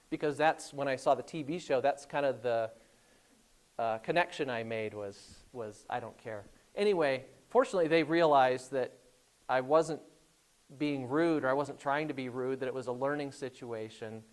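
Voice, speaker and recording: A middle-aged man with an Indiana accent, a guest speaker telling anecdotes to a class in a relaxed way, with frequent hesitations and restarts. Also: medium pitch. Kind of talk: classroom storytelling talk.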